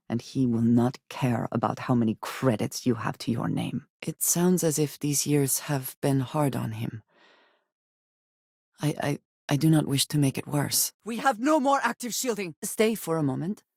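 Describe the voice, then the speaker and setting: A woman playing a guarded, solemn, devout space adventurer in a video game, speaking scripted lines with formal, measured diction. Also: rumbling low, darkish tone, slightly rough, no room sound, clean studio sound, heavily acted. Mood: combat urgency.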